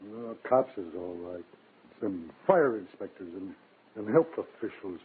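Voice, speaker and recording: An actor playing a wary, grumbling flophouse clerk in a 1950s radio drama, rough-voiced and talking in uneducated street slang. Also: male voice, lowish voice, expressive, measured pace, heavily acted. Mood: grumbling, placating. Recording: narrow, lo-fi old radio recording.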